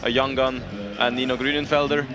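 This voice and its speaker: A male event commentator speaks casually and informally, with non-native English phrasing. His pitch is medium and fairly even. Music plays clearly behind him. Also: a little fast. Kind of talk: casual live sports commentary.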